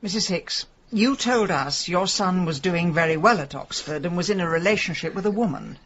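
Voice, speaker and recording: A woman's voice: fairly low pitch, lively intonation, moderate pace, muffled recording, a little hiss or hum. An actress playing a blunt, sarcastic middle-aged woman amateur sleuth in a radio comedy-drama. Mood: pointed.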